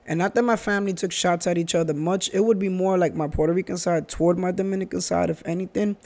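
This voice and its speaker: A man's voice: high voice, fairly even intonation, quick pace, a little mellow. A man, talking earnestly in a casual conversation, often restarting and repeating phrases. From Bronx, New York. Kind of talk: casual chat.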